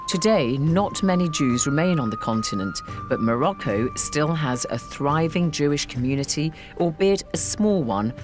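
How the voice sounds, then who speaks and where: A woman presenting a documentary, narrating in a measured way and asking interview questions. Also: extremely low pitch.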